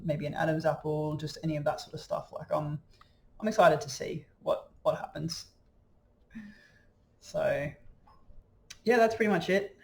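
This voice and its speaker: A man's voice: on the high side, creaky, a little mellow, slight background noise. A man in his late twenties talking casually in a video diary, easygoing and a little hesitant as he thinks aloud. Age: late 20s. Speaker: vlogger.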